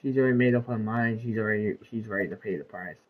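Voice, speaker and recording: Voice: mid-range voice, quick pace, phone-quality sound, background noise. A game streamer giving casual, slangy commentary, often talking to themselves while playing. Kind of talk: casual gameplay commentary, reading dialogue aloud. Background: game music.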